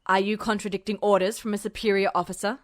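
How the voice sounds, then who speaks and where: A woman's voice: mid-range voice, level tone, fast, no echo, studio quality, pitched below the performer's own voice, lightly acted. A woman voicing a stern, domineering male military superior officer who speaks in curt orders. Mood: commanding. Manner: curt and commanding.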